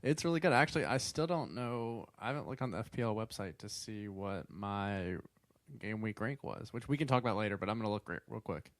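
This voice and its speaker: Young dude cohost, chill but gets hyped fast, laughs a lot, dry sound. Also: male voice, fairly low pitch, dry recording. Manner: laid-back, excitable, laughs often. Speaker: podcast co-host. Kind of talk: casual sports podcast chat.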